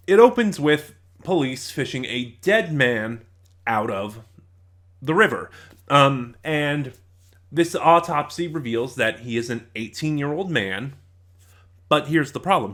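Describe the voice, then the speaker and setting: A man's voice: a little high, very animated, takes it slowly, a bit bright. A man giving a casual, rambling review as a reviewer, opinionated but often hesitant and digressive.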